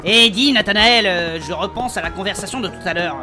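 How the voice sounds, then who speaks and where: A man's voice: high voice, a little fast, over music, natural voice. A man playing a touchy, grumbling adventurer in a comedic fantasy audio drama, blunt and colloquial. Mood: uneasy.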